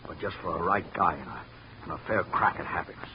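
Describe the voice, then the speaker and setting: A man's voice: sits low, quick pace, close to an everyday voice. An actor playing a defensive, middle-aged working-class watchman with plain, ungrammatical speech in an old radio detective drama. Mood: bitter, earnest. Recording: dull vintage radio recording, faint hiss.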